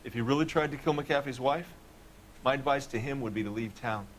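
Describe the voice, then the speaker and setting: An actor playing a curt, irritable prop maker being questioned in a video game. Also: male voice, medium pitch, a little fast, a little hiss or hum, close to an everyday voice. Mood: guarded. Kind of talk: dramatic video game acting.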